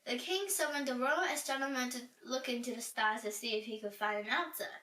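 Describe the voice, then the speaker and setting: A woman reading a children's storybook aloud in an animated, dramatic and expressive way. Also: on the high side, a little fast. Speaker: storybook reader. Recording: roomy echo, distant from mic.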